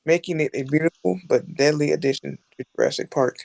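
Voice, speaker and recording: A man playing a knowledgeable, showman-like park tour narrator in a script table read, with a measured, presentational delivery. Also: on the high side, gravelly, a little mellow, dry recording, low-quality recording. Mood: informative.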